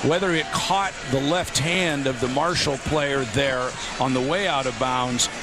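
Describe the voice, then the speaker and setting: A man's voice: medium pitch, unhurried. A man giving live color analysis of a basketball game, explaining and weighing each play.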